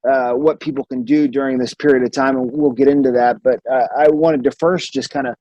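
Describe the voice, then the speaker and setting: A male podcast host introducing his guest with enthusiasm, often pausing on fillers. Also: mid-range voice, fairly even intonation, warm tone, no echo, muffled recording. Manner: enthusiastic, halting, many fillers. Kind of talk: casual interview. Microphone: remote recording.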